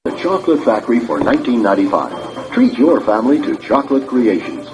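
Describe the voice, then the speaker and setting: Lively male 1990s commercial announcer. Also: medium pitch, fairly quick, rough recording. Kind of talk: 1990s TV ad voice-over. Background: prominent music.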